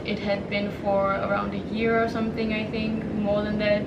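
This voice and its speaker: A woman's voice: mid-range voice, monotone, moderate pace, muffled recording. A woman in her twenties from Denmark, chatting casually to the camera and telling a story with giggles and lots of "like" fillers. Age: 20s. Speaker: vlogger. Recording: echoey, distant mic, noisy background.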